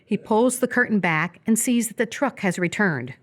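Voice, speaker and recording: A woman reading scripted stage directions as the narrator of a live radio play, in a descriptive, measured manner. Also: mid-range voice, expressive.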